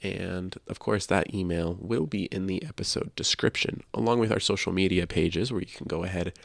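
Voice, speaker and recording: A male podcast co-host speaks in a low voice with plenty of vocal fry. The sound is dry, and he talks casually but earnestly, leaning on words for emphasis. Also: moderate pace, dry recording.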